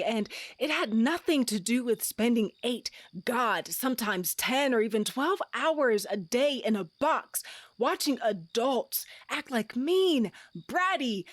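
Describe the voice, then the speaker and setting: Earnest wife and mother acted by a woman, audio drama, higher-pitched voice, unhurried, heartfelt, longing for more. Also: animated, dry recording. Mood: frustrated, wistful. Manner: heartfelt, pleading, deliberate.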